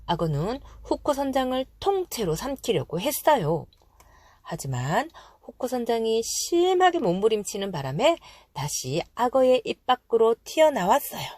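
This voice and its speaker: Lively woman storyteller, dry close sound. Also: on the high side, normal speed, no echo. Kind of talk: expressive children's storybook narration.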